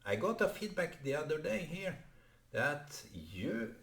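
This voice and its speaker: Slow Norwegian-accented man vlogging. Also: fairly high pitch, very animated, vocal fry, fairly bright tone, echoey room. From Norway. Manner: halting, many fillers and restarts. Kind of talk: unscripted solo vlog monologue.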